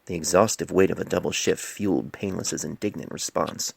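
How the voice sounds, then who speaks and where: A man's voice: deep voice, brisk, creaky, slightly rough, no echo. A man reading scripted story narration as an amateur narrator, recorded close on a cell phone mic. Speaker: amateur narrator reading own fan fiction.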